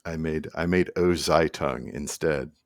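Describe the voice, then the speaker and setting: A middle-aged man with a notably deep voice chats informally to the camera. He drifts between asides and restarts in a halting, unscripted explainer style. The sound is dry, with very little room echo. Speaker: musician and vlogger. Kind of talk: casual rambling explainer to camera. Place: small indoor room at a desk.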